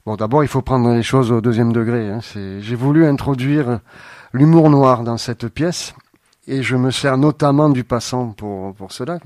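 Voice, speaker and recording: Guy on a radio interview, thinks out loud, lots of pauses, dead dry studio. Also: medium pitch, no room sound. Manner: thoughtful, hedging with fillers. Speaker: playwright guest.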